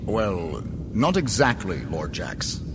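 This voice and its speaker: A man's voice: fairly low pitch, very animated, slow, music in the background. A man playing an uneasy Imperial general in a space opera audio drama, formal and hesitant before his superior. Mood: deferential, uneasy.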